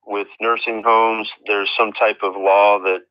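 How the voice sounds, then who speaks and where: A dull, dry telephone recording of an American man with a fairly low voice. He speaks haltingly as a caller making a complaint, exasperated and circling back over his words. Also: flat delivery, no room sound. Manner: halting, exasperated, repeating himself. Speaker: caller, relative of a patient. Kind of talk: phone conversation, complaint.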